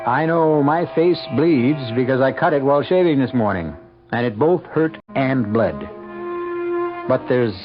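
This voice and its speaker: An older man playing a wry, theatrical host, narrating an old-time radio mystery in a deliberate manner. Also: mid-range voice, close to an everyday voice. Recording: dull old radio recording. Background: prominent music.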